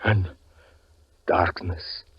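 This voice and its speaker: An actor playing a tormented, fervent stage magician and husband in 1940s radio suspense acting, melodramatic, swinging between hushed narration and anguished cries. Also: male voice, drawn-out words. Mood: haunted, grim. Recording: muffled vintage 1940s radio recording.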